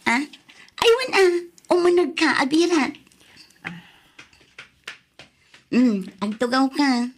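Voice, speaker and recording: An actress playing a scornful, nagging wife and mother in an Ilocano-accented radio drama, with a sharp, emphatic way of talking. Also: high voice, lively intonation, a little slow, a little hiss or hum. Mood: complaining.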